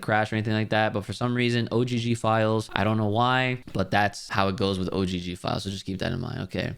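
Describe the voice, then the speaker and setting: Low, smooth-voiced man giving a tutorial. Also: fairly bright tone. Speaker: tutorial host. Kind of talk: casual step-by-step screen tutorial.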